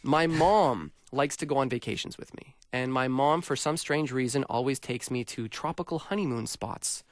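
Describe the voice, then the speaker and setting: A man's voice: mid-range voice, moderate pace, no echo, clean studio sound. A man hosting a radio show, interviewing students casually with jokey, slangy banter.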